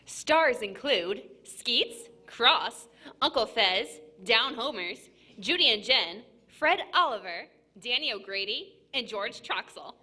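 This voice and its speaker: A woman's voice: on the high side, lively intonation, takes it slowly, sharp tone, exaggerated voice. A woman playing a 1940s local radio news announcer, declaiming formally. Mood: bright, declamatory.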